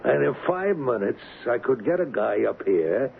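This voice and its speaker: A man playing a cocky, wisecracking gangster in an old-time radio crime drama, slangy and taunting, with rough grammar. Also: medium pitch, big pitch swings, normal speed, phone-quality sound. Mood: cocky, menacing. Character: cocky, wisecracking street-tough gangster hired as an agent. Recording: thin, band-limited, hissy old radio recording.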